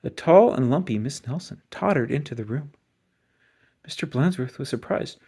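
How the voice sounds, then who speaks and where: Husky, animated storybook reader. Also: medium pitch, moderate pace. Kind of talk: expressive children's read-aloud narration.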